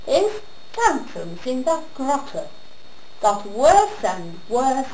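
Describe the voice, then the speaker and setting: A woman recites a comic rhyming monologue in an echoey room with some background noise. Her delivery is theatrical and unhurried, with deliberate pauses and lively swoops of pitch. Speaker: monologue performer. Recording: roomy echo with background noise.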